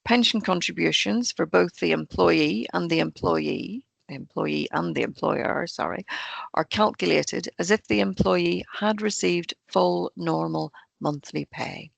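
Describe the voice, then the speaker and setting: A hoarse woman presents an online training webinar for employers. She talks over a video call and explains rules steadily, point by point. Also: on the low side, measured pace, no room sound. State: croaky, hoarse voice. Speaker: pension fund trainer.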